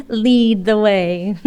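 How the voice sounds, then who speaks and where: High, lilting noir lady detective played by an actress. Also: mellow, clear, resonant tone. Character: witty, flirtatious female private detective, a little tipsy. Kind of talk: 1940s noir radio play acting. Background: music.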